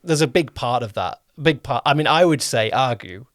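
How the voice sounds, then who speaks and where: A man's voice: mid-range voice, animated, normal speed, dry recording. Man hosting a casual, dry-sounding podcast chat. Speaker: podcast host and producer.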